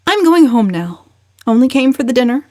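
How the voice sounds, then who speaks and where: A brazen young woman from a dramatized novel, voiced by a female reader. Her voice sits high and lands each retort with biting emphasis. She is sharp-tongued and scornful, in a dry, close sound. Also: very animated, moderate pace, no echo. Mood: brazen, cool.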